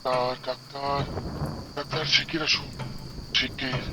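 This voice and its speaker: A man's voice: medium pitch, little pitch movement, moderate pace, heavy background noise, natural voice. A man playing a panicked, suffocating caller in a horror audio drama, begging for help between gasps. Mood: urgent, frightened. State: gasping, short of breath.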